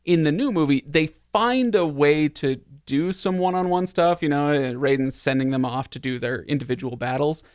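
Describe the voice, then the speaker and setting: A man's voice: on the high side, moderate pace, hardly any pauses, clear, resonant tone, no echo, clean studio sound. A man hosting a podcast, talking casually in a wry, rambling way about film. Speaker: podcast host.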